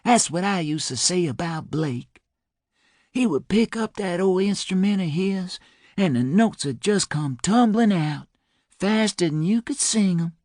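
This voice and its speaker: Guy doing a super old lady, talks real slow and soft, swingy pitch, lowish voice. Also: animated, dry recording, clean studio sound. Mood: reminiscing. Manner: slow, soft, unhurried drawl. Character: frail old woman over ninety, gentle and wistful.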